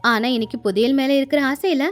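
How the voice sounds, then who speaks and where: High-pitched woman narrating a story. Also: quick pace. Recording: faint music underneath.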